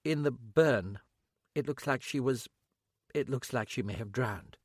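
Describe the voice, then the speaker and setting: A man's voice: medium pitch, unhurried, husky, no room sound, clean studio sound. A man voicing a wry, teasing young woman who is a detective sergeant.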